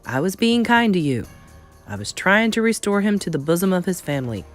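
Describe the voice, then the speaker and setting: Unflappable elderly Norwegian granny, played by a woman narrator, low-pitched, wry and matter-of-fact, for a storybook. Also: sing-song, a little fast, faint music behind. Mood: calm. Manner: unruffled, matter-of-fact, mischievous. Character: calm, wry old Norwegian grandmother.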